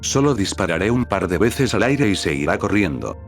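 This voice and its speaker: Male horror narrator, very deep voice, steady and measured, music bed underneath. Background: background music.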